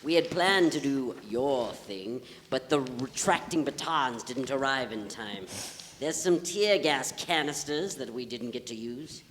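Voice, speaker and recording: A man's voice: medium pitch, lively intonation, a little slow, a bit bright, a little hiss or hum. A man playing a melancholy, pompous poet and part-time detective in a staged play reading, with florid, declamatory delivery. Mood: bitter, sarcastic. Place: live on a theatre stage. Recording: reverberant theatre hall.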